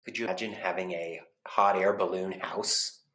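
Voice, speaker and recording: A man narrating a children's storybook as a librarian would, expressively, with deliberate pauses between phrases. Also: low voice, steady tone, pushed and bright. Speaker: school librarian reading aloud. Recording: echoey room.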